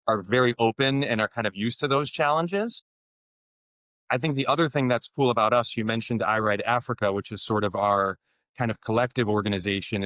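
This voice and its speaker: Youngish guy, lowish voice, chatty, restarts a lot, dry close mic. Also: a bit bright, dry recording. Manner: easygoing, thinks aloud with many restarts. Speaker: tour business co-founder. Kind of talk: conversational interview answers.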